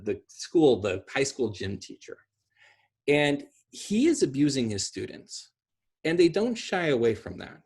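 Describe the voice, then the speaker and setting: A middle-aged man with an American accent and a slightly husky voice, talking casually and off the cuff in an animated, rambling way. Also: medium pitch. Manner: animated, gesturing, rambling with asides. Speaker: video host discussing video game films. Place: small room at home, indoors.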